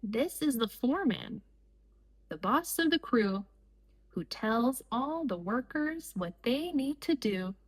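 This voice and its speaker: A woman reading a picture book aloud for young children in deliberate, measured phrases with pauses between short lines. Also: fairly high pitch, expressive, slightly rough, rough recording. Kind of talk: slow read-aloud storytime for young children.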